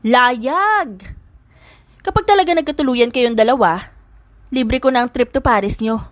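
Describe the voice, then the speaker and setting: A woman's voice: fairly high pitch, a little slow, no room sound, studio quality, lightly acted. A woman voicing a bubbly, lovestruck young woman, girlish and gushing, in an audiobook romance. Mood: teasing. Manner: gushing, squealing.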